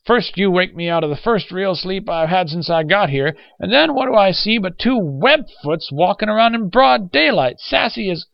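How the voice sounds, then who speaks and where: A frail, hateful old war veteran from an audiobook story. The voice is pushed well above a normal male pitch, wheezy and indignant, snapping and complaining. The recording is dry and a little muffled. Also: expressive, moderate pace, creaky, few pauses, no room sound. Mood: snapping indignation. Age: elderly. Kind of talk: audiobook character acting.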